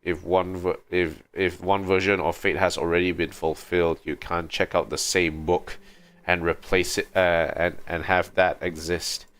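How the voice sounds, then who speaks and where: Slow, low-voiced man musing on stream. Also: little pitch movement, fairly bright tone. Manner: musing, halting, repeats and rephrases lines he hears. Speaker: game livestreamer. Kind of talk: casual livestream commentary.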